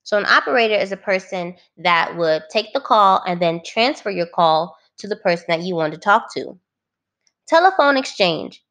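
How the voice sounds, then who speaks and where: A woman teacher reading a children's book aloud, phrasing it clearly and adding simple explanations for young listeners. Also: mid-range voice, a bit bright.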